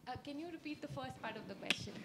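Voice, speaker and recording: A woman's voice: high-pitched, animated, fast, gravelly, bright, forceful tone, a little hiss or hum. A woman in her thirties with an Indian accent, answering questions in an instructive way as a gardening expert at a live talk. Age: 30s. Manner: explanatory, instructive. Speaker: gardening expert and guest speaker.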